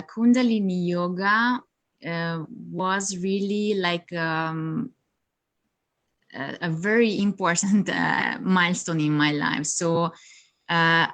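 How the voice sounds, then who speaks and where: A woman whose first language is Hungarian, talking in a somewhat low voice. She speaks in a halting way, full of fillers and small laughs, with the sound of a video call. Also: fairly bright tone. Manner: hesitant, many fillers, laughs often. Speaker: yoga teacher, guest. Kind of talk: casual interview chat.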